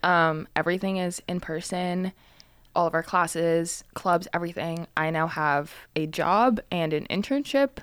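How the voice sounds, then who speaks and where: A young woman in her twenties with a lowish, slightly raspy voice, chatting loosely as a podcast co-host. She rambles and restarts with plenty of fillers. The sound is dry and close, from a small studio. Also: unhurried, no echo. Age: 20s. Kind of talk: casual chat.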